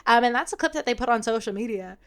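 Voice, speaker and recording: A woman's voice: on the high side, animated, rapid-fire, fairly bright tone, clear, resonant tone. Chatty young American woman. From United States. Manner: chatty, storytelling, many "like"s. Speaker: podcast co-host. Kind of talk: casual chat.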